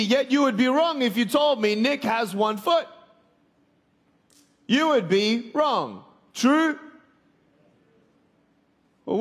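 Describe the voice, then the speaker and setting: A man in his twenties with an Australian accent, giving a joking, motivational sermon to a live audience and calling for responses. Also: sits high. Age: 20s. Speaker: evangelist speaker. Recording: long hall reverb.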